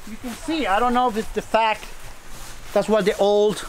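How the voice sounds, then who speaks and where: A middle-aged Costa Rican man with a high voice and native Spanish shows people around his farm. He talks casually in simple phrases, repeating words, with faint outdoor noise around him. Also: steady tone, moderate pace, low-quality recording. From Costa Rica. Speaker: farmer showing his homestead. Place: outdoors in a tropical food forest.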